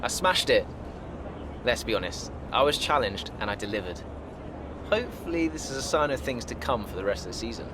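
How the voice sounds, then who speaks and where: A man's voice: medium pitch, very animated, brisk, noisy background, lightly acted. A man playing a confident young rookie racing driver in a video game, with an understated, casual manner. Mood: cocky, guarded. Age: young adult. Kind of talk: naturalistic video game cutscene acting.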